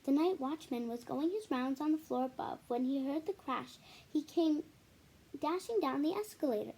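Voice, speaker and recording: A woman reading a children's picture book aloud in an expressive storytelling style. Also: high-pitched, moderate pace. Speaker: story reader.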